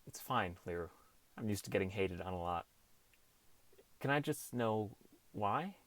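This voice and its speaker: A man's voice: lowish voice, animated, measured pace, a bit bright, no echo. An actor playing a downcast teenage boy who narrates his gaming adventure in an understated, wry first-person audiobook style. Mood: awkward, gentle. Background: music.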